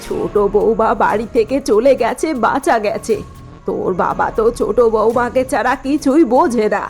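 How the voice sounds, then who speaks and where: A woman's voice: a little high, moderate pace, a little mellow, soft background music, natural voice. A woman voicing a spiteful, scheming middle-aged mother-in-law in a cartoon drama, needling and self-justifying. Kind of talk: dubbed cartoon dialogue.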